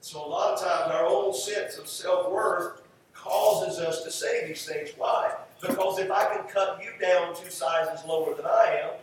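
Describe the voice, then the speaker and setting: High-voiced American preacher in an echoey room. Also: male voice, steady tone. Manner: emphatic, repeats phrases for effect, drawn-out stresses. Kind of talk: fervent sermon with scripture reading. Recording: roomy echo, distant mic, faint noise.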